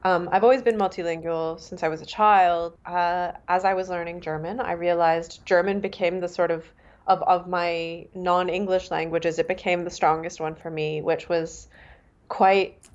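A Canadian-born woman author, first language Mandarin, speaking in a thoughtful, measured way with fillers and restarts. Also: on the low side, fairly even intonation, dull audio. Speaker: author and guest. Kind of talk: reflective interview talk.

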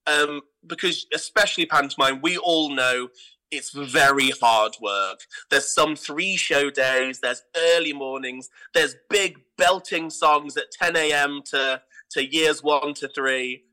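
A man's voice: on the high side, sharp tone, poor audio. A British man chatting enthusiastically and casually as a theatre director being interviewed on a podcast.

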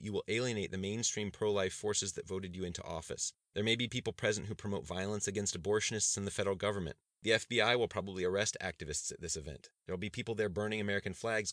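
A man reading a novel aloud, voicing a thirty-five-year-old male budget director who argues warmly and carefully. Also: lowish voice, no echo, studio quality, voice pushed up, close to an everyday voice. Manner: warm and persuasive. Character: polite young male budget director, measured and persuasive.

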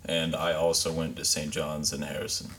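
Very deep-voiced teenage boy, hesitant. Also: flat delivery, moderate pace, fairly bright tone, recorded from a distance, slight background noise. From Cincinnati. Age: teens. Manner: brief, hesitant, frequent "um". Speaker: student guest.

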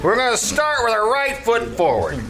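Man playing animated, high-pitched scheming tavern manager. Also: moderate pace, crowd noise, full character voice. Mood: eager, scheming. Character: scheming, wisecracking male tavern manager, chatty and eager. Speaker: amateur radio troupe actor. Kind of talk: old time radio comedy acting before a live audience. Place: live radio theater stage. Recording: noisy live theater stage recording.

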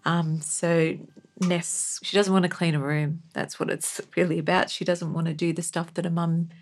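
A woman talks casually as a guest on a podcast. Her voice is fairly low and creaky, with little movement in pitch. She feels her way through thoughts and checks for agreement. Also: little pitch movement, moderate pace. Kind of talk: casual chat.

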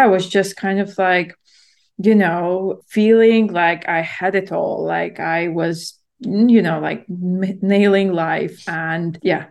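Podcast guest: woman in her thirties, lowish voice with a gravelly fry, reflective storyteller. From Latvia. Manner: reflective storytelling, full of "like" and "you know". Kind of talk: casual podcast conversation.

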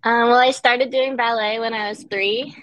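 A young woman from Connecticut, a guest in a casual interview, talking relaxed with many fillers and easy laughs. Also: a little high, normal speed, rough recording. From United States, Connecticut. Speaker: guest, director and dancer.

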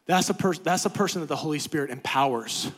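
A man preaching a sermon as pastor, swinging from quiet, low talk to loud, high-pitched exhortation. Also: fast talker, slightly rough. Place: church sanctuary.

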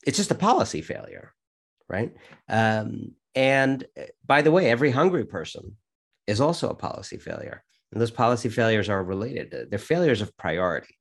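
A man's voice: fairly low pitch, big pitch swings, moderate pace, creaky. A man giving his opinion conversationally as an interviewee.

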